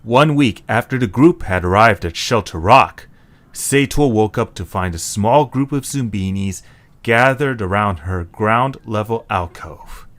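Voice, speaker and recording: Low, expressive male storyteller. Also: unhurried. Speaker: narrator. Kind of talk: scripted story narration.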